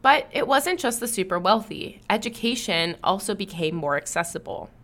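A woman narrates a history podcast at a middle pitch. She reads from a script in a clear, even storytelling way. Now and then she turns playful with her co-host. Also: moderate pace.

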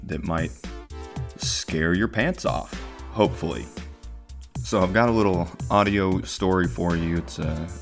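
Really deep guy narrating spooky stuff, measured and deliberate, bit of music behind him. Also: gravelly. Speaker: podcast host and audio drama narrator. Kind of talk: horror story narration and introduction.

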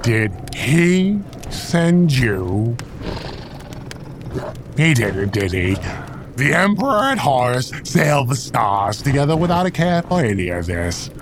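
A man playing a wrathful, defiant warlord in an audio drama, speaking with bitter scorn and halting menace. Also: medium pitch, big pitch swings, background noise. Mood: bitter suspicion.

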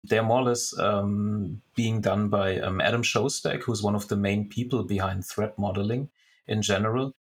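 Husky low-voiced man explaining. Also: fairly even intonation, normal speed. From Germany. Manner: methodical, step-by-step explaining with restarts. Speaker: security architect guest. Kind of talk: explaining in an interview.

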